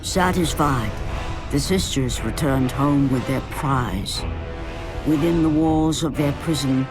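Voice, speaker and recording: A man playing a solemn storyteller, narrating an epic myth for a video game in an unhurried, scripted style. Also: medium pitch, music in the background, lightly acted. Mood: solemn, ominous.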